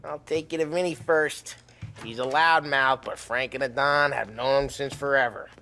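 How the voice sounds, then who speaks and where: A man's voice: mid-range voice, steady tone, normal speed, faint music behind. An actor playing a cocky, teasing male mobster in a video game. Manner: wisecracking, teasing, laughs loudly.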